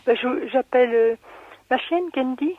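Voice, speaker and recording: A woman's voice: high voice, moderate pace. A woman talking on a noisy phone line, obliging and flustered as she answers a caller. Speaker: dry-cleaning shop worker, prank call victim. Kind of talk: phone conversation.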